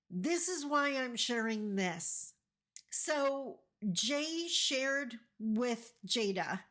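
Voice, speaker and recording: A middle-aged woman from Northern Ontario, getting over a cold, talking straight to the viewer in a warm, enthusiastic way. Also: a little high. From Northern Ontario, Canada. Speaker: vlogger, host of her channel. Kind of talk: casual direct-to-camera talk.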